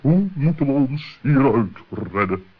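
An actor playing a practical, plain-speaking male owl in a children's radio play, with a husky voice. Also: mid-range voice, lively intonation, unhurried. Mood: concerned. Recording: dull old radio play recording.

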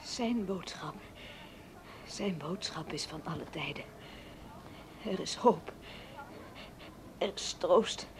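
A woman's voice: fairly low pitch, background noise. A faltering, dying older woman. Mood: fervent, weak. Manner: halting, faltering, murmuring. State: in pain, dying, confused. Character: gravely injured, devout older woman Salvation Army major. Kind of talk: radio drama acting.

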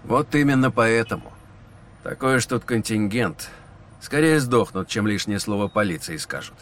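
A man playing a patient police lieutenant who talks in a measured, explanatory way. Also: fairly low pitch, animated, a bit bright. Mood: explaining. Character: weary young male police lieutenant, patient. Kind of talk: audio drama acting.

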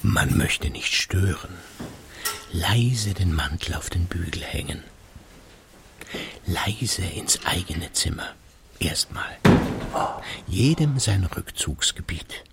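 An actor playing a brooding retired husband in his sixties who secretly drinks, muttering an evasive, halting monologue in a gravelly radio-drama voice, often sinking to near whispers. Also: low voice, lively intonation, fairly bright tone, slight background noise, exaggerated voice. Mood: furtive, muttering.

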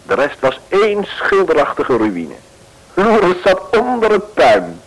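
A man's voice: sits high, huge pitch swings, slow, gravelly, poor audio. A man narrating an old radio play in a wry, chatty way.

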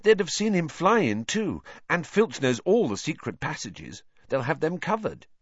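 A prim, know-it-all schoolgirl, put on by a male narrator, lecturing crossly in a raised, lively voice. The recording is dry and slightly dull. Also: a little high, normal speed, husky, no echo, voice pushed up. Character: young girl, anxious, bossy know-it-all.

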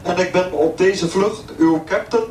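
A man's voice: high-pitched, measured pace. An actor playing a distracted male airline captain giving hesitant, rambling announcements in a satirical radio play. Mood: languid, distracted. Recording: distant echoey voice, faint cabin noise.

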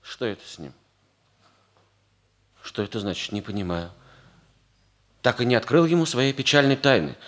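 A lovelorn young officer, melodramatic, swooping delivery. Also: male voice, mid-range voice, sing-song, normal speed, long pauses, a bit bright. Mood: melancholy. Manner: theatrical, declamatory, sighing. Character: lovelorn, noble young cavalry officer, self-sacrificing and melodramatic. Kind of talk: 1960s Russian radio comedy play. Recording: 1960s radio play with music behind.

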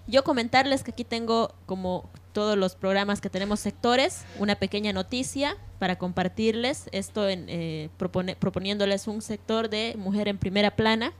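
Woman hosting a radio programme, halting delivery. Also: mid-range voice. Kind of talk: semi-scripted radio presenting with news reading.

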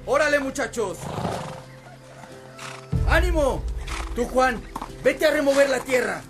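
Commanding farm boss, radio drama, very high projected voice, music behind. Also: male voice, expressive, slow pace, exaggerated voice. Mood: rousing, commanding. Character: commanding hacienda boss rallying his farmhands.

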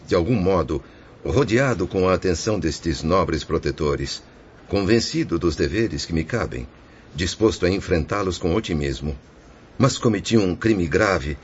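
A very low male voice from an actor portrays a penitent, tormented spirit of a bankrupt merchant. The delivery is formal and declamatory, in the manner of a religious radio play. The recording is dull, with faint noise behind it. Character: remorseful male suicide spirit, ruined merchant, resigned yet anguished. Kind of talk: religious radio drama acting.

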